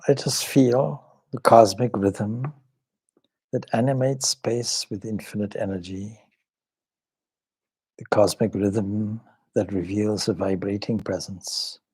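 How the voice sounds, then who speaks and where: A man leading a guided meditation as a swami, speaking calmly and reverently in measured short phrases with pauses. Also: on the low side, slightly rough, poor audio. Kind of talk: guided meditation with some Sanskrit chanting.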